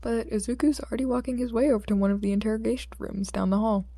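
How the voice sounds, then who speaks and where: Female fiction narrator: expressive scripted read, relaxed mellow timbre, very dry close sound. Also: a little high, quick pace, no room sound. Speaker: audiobook narrator.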